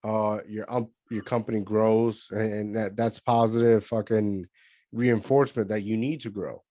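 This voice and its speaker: A man's voice: fairly low pitch, fairly even intonation, moderate pace, mellow, dry recording. A man from Puerto Rico hosting a podcast in a casual, slangy, informal way. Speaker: podcast host. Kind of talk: casual chat.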